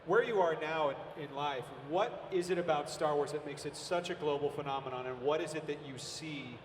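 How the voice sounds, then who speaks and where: An American man hosting a convention panel, asking questions eagerly with energetic restarts. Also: on the high side, a little fast, a little hiss or hum. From United States. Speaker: panel host. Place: large convention hall stage. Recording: roomy echoing hall, distant from the mic, audible crowd ambience.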